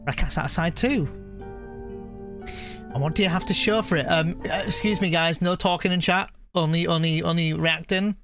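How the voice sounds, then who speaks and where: A man live streaming a video game, chatting casually and reading the on-screen text aloud in a halting way, often correcting himself. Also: a little high, music in the background.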